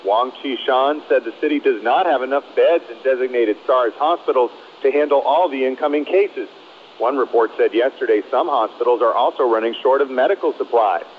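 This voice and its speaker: A man's voice: mid-range voice, a little fast. Male correspondent on a tinny line. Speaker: foreign news correspondent. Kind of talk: scripted news report. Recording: tinny phone-like line with noise.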